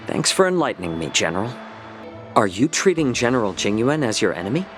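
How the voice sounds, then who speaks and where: An actor playing a genial, sly male healer in a video game, with a polite, wordy, gently teasing manner. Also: medium pitch, very animated, moderate pace, soft background music, close to an everyday voice. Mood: smooth, probing. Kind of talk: game cutscene character acting.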